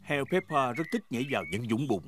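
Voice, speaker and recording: A man's voice: medium pitch, lively intonation, fast talker, lightly acted. A man playing a friendly storyteller, giving scripted narration for a children's cartoon in an explanatory manner. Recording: loud music under the voice.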